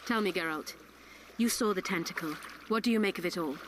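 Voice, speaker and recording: An actress playing a shrewd, self-assured sorceress in a video game, with an imperious and wry manner. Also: female voice, fairly low pitch, brisk, lightly acted. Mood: wry, teasing. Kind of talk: video game cutscene acting. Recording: game dialogue over clear water noise.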